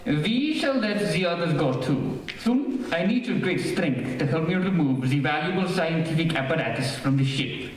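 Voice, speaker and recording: A commanding, learned alien man from a radio serial, played by a man. His fairly high voice moves up and down theatrically, with formal phrasing, in a very echoey space. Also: expressive, moderate pace, close to an everyday voice. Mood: businesslike. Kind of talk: old-time radio serial acting. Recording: echoey stage, distant mic, faint noise.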